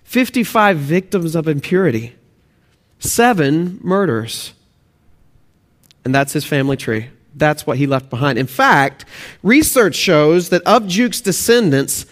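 Earnest Texan pastor, fairly high voice. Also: male voice. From Texas and Oklahoma. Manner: earnest, many "uh" fillers, rising emphasis on key points. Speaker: student pastor. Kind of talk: sermon with prayer. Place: church sanctuary.

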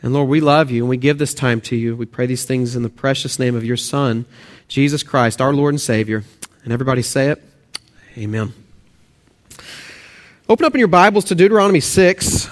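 A man's voice: mid-range voice, fairly quick, pauses often. A young adult man with a Texan accent, preaching a sermon as a student pastor in church, earnest and dotted with "uh" fillers. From Texas and Oklahoma. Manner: earnest, many "uh" fillers, rising emphasis on key points. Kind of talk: sermon with prayer. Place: church sanctuary.

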